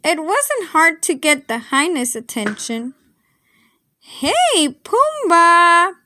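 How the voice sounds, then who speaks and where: A woman reading a children's storybook aloud in an expressive, deliberate, dramatic way. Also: high voice, dry recording.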